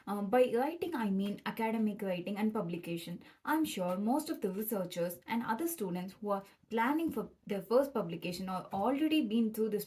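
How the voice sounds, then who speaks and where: A woman's voice: medium pitch, dark tone, slightly rough. Young Tamil-accented woman explaining. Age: young adult. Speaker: PhD student presenting educational videos. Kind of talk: explanatory educational video presentation.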